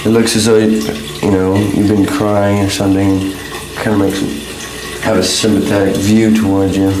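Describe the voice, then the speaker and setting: A young man, a punk band founder, talking in a casual documentary interview, halting and restarting as he searches for words. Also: on the low side, level tone, roomy sound, low-quality recording.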